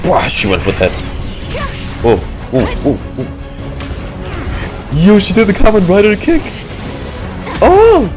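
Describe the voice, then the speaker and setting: A man's voice: high voice, wildly swooping pitch, slow pace, long pauses. A man giving casual live reactions to a video game, exclamatory, slangy and sweary. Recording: loud game music behind.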